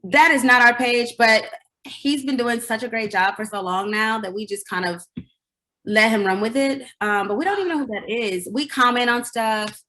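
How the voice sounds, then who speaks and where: A woman's voice: on the high side, normal speed. A woman in her forties, a singer as interview guest, telling stories in casual chat, laughing often and acting out what people said. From United States. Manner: animated storyteller, laughs often, acts out quotes.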